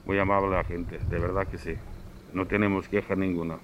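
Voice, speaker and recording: A man's voice: low-pitched, little pitch movement, brisk, muffled recording, slight background noise. A man in his sixties from Portugal, a circus presenter, giving earnest interview answers and often repeating himself.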